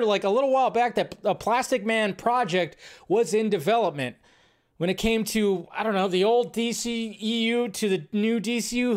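A man hosting a movie news show, giving casual, rambling fan commentary in an informal, thinking-out-loud way. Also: high-pitched.

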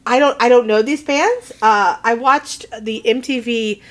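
A woman's voice: on the high side, moderate pace. A woman with a Southern American accent, co-hosting a podcast in giggly, teasing casual chat. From Southern United States. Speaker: podcast co-host.

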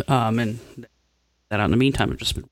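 A man's voice: mid-range voice, normal speed, gravelly, no room sound. A man co-hosting a podcast in laid-back casual chat with dry, joking remarks. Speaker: podcast co-host.

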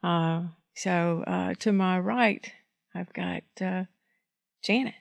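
A relaxed woman with a lowish voice opens a round-table talk show, unhurried and full of little fillers. The sound is dry and close. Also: creaky, dry recording. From Red Bay, Alabama. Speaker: host. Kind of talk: casual talk show hosting.

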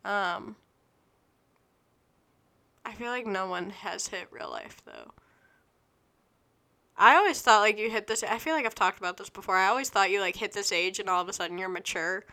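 Bright-voiced young woman rambling. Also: medium pitch, lively intonation, normal speed, long pauses. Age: early 20s. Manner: scattered, self-interrupting, chatty. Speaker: podcast host. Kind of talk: rambling solo podcast chat.

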